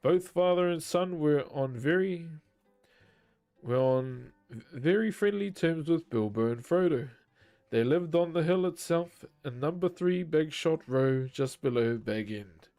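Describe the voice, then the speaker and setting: A man's voice: a little high, animated, a little slow. A man reading a novel aloud on a live stream, chatting casually with viewers between passages and swearing freely. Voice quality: naturally loud voice. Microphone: desk microphone.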